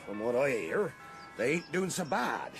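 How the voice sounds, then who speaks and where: A man's voice: medium pitch, sing-song, unhurried, over music. A man playing a blustering, suspicious farmer with a rural English accent in a radio drama. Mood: scheming. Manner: rustic, emphatic, grumbling.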